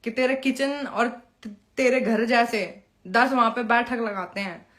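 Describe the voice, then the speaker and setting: A woman's voice: fairly high pitch, takes it slowly, fairly bright tone. A young woman in an informal live-stream monologue, confrontational and taunting, mixing in English phrases. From Bihar, India. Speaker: Bhojpuri performer addressing followers on a live stream.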